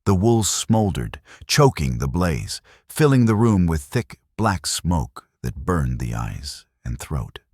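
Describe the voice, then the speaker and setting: A man's voice: deep voice, very animated, slow, hardly any pauses, darkish tone, slightly rough, dry recording, studio quality. A man narrating a dramatic Western tale as a scripted storyteller.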